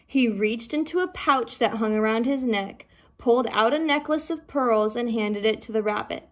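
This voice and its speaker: Higher-voiced woman reading a storybook. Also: normal speed. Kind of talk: read-aloud storybook narration.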